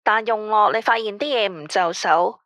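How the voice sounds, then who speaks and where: A woman's voice: mid-range voice, normal speed, fairly bright tone, dry recording, dull audio, lightly acted. Weary Hong Kong Cantonese woman caller, dry sound acted. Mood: uneasy, insistent. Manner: tired, guarded, earnest. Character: weary married woman calling late-night radio, uneasy. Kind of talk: radio drama phone-in dialogue.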